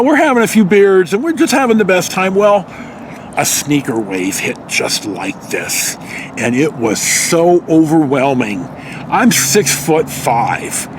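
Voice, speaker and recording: A man's voice: fairly high pitch, a little hiss or hum. An American man in his early sixties tells a personal story casually, in a rambling, salty-tongued way. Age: early 60s. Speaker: vlogger telling personal stories. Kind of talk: casual anecdotal storytelling to camera.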